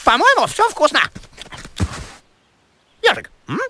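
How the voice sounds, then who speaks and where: Squeaky, brash cartoon rabbit. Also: male voice, wildly swooping pitch, slow, bright, forceful tone, slight background noise, heavily acted. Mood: cocky, stubborn. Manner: cocky, bossy, argumentative. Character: brash, stubborn young male cartoon rabbit. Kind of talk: animated cartoon dialogue.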